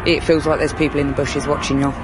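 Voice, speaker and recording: A man's voice: on the high side, flat delivery, fast talker, loud music behind the voice, low-quality recording. A man giving an informal spoken account of local legends.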